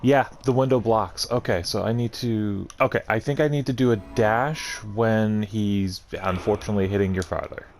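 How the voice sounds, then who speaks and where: Low-voiced man muttering through a game. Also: fairly even intonation, normal speed, hardly any pauses, a little mellow, a little hiss or hum. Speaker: gaming channel host playing a game. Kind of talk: casual let's play commentary, thinking aloud.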